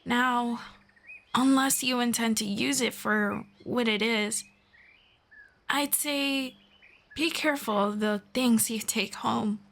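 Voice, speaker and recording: A woman playing a friendly, calm forest elf in an ASMR fantasy roleplay, speaking in a measured, playful, gently sarcastic way. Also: a little high, slight background noise. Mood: cautious, wry. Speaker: roleplay voice performer.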